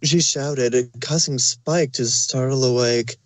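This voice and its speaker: A man narrates scripted fan fiction in a medium-pitched voice. The sound is dry and close, with no room around it. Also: steady tone, slow pace, no echo.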